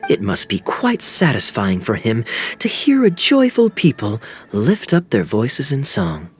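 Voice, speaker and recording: An actor playing a suave, wily young male captain in a video game, with a smooth, teasing, theatrical delivery. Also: medium pitch, wildly swooping pitch, measured pace, faint music behind. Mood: sly, probing.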